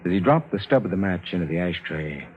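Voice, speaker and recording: A man narrating a 1950s radio drama with deliberate, dramatic phrasing and measured pauses. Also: low voice, expressive. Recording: tinny band-limited old radio recording. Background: loud dramatic music.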